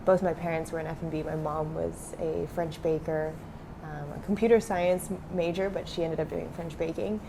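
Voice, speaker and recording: A woman's voice: low-pitched, level tone, moderate pace, vocal fry, background noise. A young adult woman who grew up in Switzerland, talking casually as a startup founder in an interview, with many fillers.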